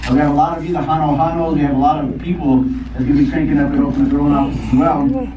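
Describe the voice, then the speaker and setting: A man speaking to staff in a rapid, boastful, rambling speech. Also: a little high, steady tone. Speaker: business leader addressing staff. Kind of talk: speech to a crowd. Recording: roomy, distant, muffled, with noise.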